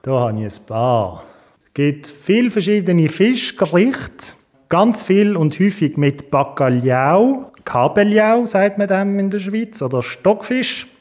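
Man, twenties, slightly high pitch, matter-of-fact interview answers. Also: darkish tone. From Switzerland. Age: 20s. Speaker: guest.

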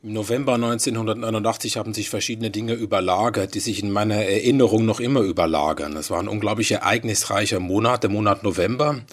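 A man's voice: fairly low pitch, quick pace, hardly any pauses. A Swiss-born man with a Swiss German accent, thoughtfully recalling the past in an interview. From Switzerland. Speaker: interviewee, cultural journalist. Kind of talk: reflective interview answer.

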